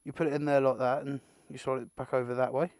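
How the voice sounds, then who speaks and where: A man's voice: mid-range voice, little pitch movement, fast talker, darkish tone, no room sound, studio quality. A British man giving a casual, unscripted product unboxing, rambling and muttering asides to himself with the odd mild swear. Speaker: amateur video creator presenting an unboxing.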